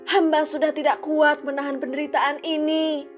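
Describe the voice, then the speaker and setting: A woman's voice: high voice, little pitch movement, normal speed, faint music behind, voice pushed up, close to an everyday voice. A woman voicing a patient, grieving old mother in a children's cartoon, praying in a solemn, pleading way. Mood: sorrowful prayer.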